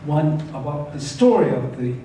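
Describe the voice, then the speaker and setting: A man with a mellow, fairly high voice gives public remarks as a guest speaker. The hall echoes heavily around him. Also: expressive, moderate pace. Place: large concert hall stage. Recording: echoey hall, distant pickup, faint noise.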